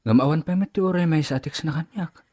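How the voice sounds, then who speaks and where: A man's voice: a little high, fast, a little mellow, lightly acted. A man acting an earnest, serious family man in an Ilocano radio drama. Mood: earnest, wooing. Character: men of a family drama: stern father, earnest suitor.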